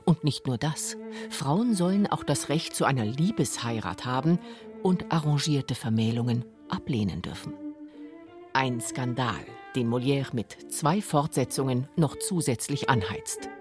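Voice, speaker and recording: A woman's voice: very deep voice, expressive, a little slow, music in the background. A woman narrating a scripted radio documentary.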